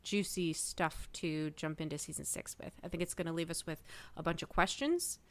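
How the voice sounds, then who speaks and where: A woman's voice: low voice, steady tone, moderate pace. A woman speaking as a podcast panelist in a casual fan discussion, thinking aloud in a thoughtful way.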